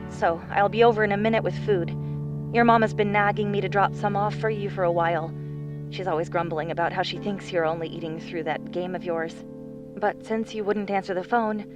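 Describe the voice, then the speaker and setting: A nervous young woman, as a male narrator puts her on high and bright, tumbling over her own apologies. She talks in quick, pushy bursts and barely lets the other person answer. An audiobook character on a phone call. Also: natural voice. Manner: rushed, apologetic, rambling.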